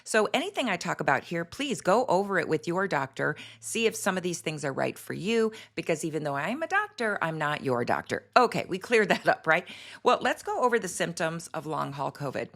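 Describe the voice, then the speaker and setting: A middle-aged woman doctor with a slightly hoarse voice, hosting a casual health talk and explaining in a chatty way with fillers. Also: on the low side, big pitch swings, fairly quick, fairly bright tone. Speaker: doctor and health show host.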